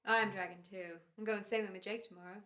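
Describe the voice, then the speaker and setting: A woman plays a weary radio performer at a medium pitch. The lines come out casual and sarcastic, in the slangy manner of an old-time radio comedy. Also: steady tone, fast talker, bright, forceful tone, natural voice. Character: dry, wisecracking, worn-out radio performer.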